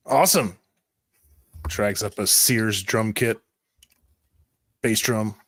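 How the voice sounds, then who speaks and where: A man's voice: lowish voice, fairly even intonation, takes it slowly, a bit bright, dry recording, studio quality. A man acting as game master, improvising descriptive narration for a tabletop roleplaying game.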